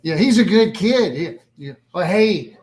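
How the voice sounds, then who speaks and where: A man's voice: sits high, lively intonation, unhurried, darkish tone. A man playing a genial, folksy, easily amused small-town official in a table read of a comedy series. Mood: chatty, friendly.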